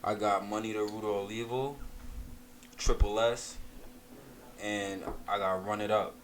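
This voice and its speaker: A man's voice: fairly low pitch, fairly even intonation, unhurried, a little hiss or hum. A man from Trinidad and Tobago, a rapper guest on a radio show, easygoing and hyped, talking in street slang. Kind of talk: casual radio interview.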